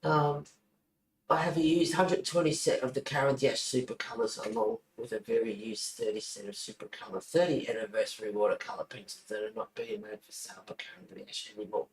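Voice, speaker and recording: A man's voice: medium pitch, animated, a little fast, vocal fry. A man with an Australian accent chatting casually as a livestream host, rambling and easily sidetracked. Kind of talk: casual chat. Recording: roomy echo, faint noise.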